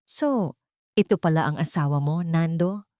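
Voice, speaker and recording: A woman's voice: deep voice, unhurried, dark tone, dry recording, clean studio sound. A woman voicing a haughty, mocking rich mistress in a melodrama story. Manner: mocking, silky condescension.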